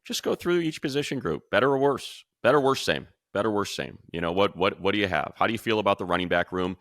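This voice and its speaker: A man's voice: fairly low pitch, animated, fast, fairly bright tone, no room sound, clean studio sound. An American man hosting sports talk, breaking down his analysis emphatically in short, punchy phrases as he thinks aloud. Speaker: sports show host.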